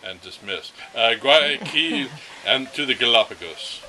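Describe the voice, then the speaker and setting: A man with a very bright, forward voice at an average pitch reads a literary story aloud. His delivery is expressive and slightly unpolished, over faint ambient noise. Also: a little slow, rough recording. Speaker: reader of a story. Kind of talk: read-aloud first-person literary narration.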